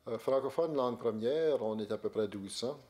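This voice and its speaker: A man in his sixties with a Québécois accent, speaking in a measured, halting way with many fillers in a documentary interview. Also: mid-range voice, mellow, husky. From Quebec, Outaouais. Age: 60s. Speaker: interviewee, longtime local resident.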